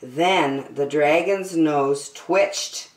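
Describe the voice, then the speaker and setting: Slow, low-voiced woman reading to children, roomy. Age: middle-aged. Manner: deliberate, drawn-out storytelling with emphatic words. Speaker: children's story time host. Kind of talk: expressive read-aloud of a rhyming picture book for children. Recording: roomy indoor echo.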